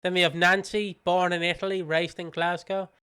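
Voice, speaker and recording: British podcast dude with a high clear voice, giggles and teases his cohost. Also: level tone, normal speed, pushed and bright. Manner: giggly, teasing, reads out notes. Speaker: podcast co-host. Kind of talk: casual chat.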